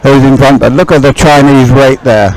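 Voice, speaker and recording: A man's voice: mid-range voice, normal speed, darkish tone, a faint crowd, poor audio. A man giving live race commentary in a conversational, searching way. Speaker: sports commentator. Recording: music playing behind outdoor live broadcast. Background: music.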